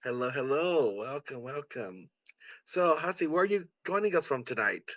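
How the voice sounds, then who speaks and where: A man's voice: mid-range voice, lively intonation, moderate pace, a bit bright, no room sound, muffled recording. A man hosting an online event in an effusive, encouraging manner. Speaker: event host. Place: indoors on a video call.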